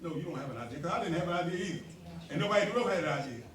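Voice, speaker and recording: A man's voice: fairly high pitch, animated, very fast. Emphatic man rallying a community meeting. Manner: emphatic, preacher-like, rising to near shouts. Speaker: association president hosting a meeting. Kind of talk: animated speech to a community meeting. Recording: roomy hall, mic from a distance, faint ambience.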